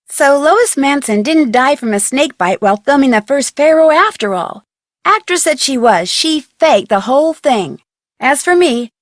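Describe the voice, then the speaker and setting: A woman's voice: high voice, no echo, clean studio sound. An actress playing an upbeat young amateur detective, chatty and wry, narrating her case in a mystery game. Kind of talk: scripted first-person narration for a mystery game.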